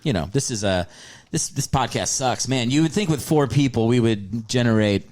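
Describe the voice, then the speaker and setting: Podcast host, man, mid-pitch, rambly banter among comics. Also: expressive, moderate pace, darkish tone. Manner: rambling, restarts, defensive. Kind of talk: casual comedy podcast banter.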